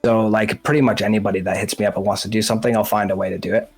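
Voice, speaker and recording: A man's voice: fairly low pitch, fairly even intonation, racing, gravelly, a little mellow, breathy edge. A man chatting as a music producer, rambling in an informal way. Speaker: music producer on a talk show. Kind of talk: casual chat.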